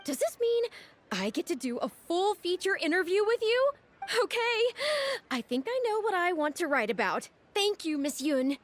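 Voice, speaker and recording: A woman's voice: extremely high pitch, big pitch swings, normal speed. A woman playing an outspoken, earnest young woman in a video game, candid and forthright. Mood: hesitant, eager. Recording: background music under dialogue.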